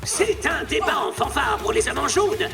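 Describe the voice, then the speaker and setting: A woman playing an excited football match commentator in a cartoon dub. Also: high voice, normal speed, gravelly, full character voice. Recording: distant voice under loud music.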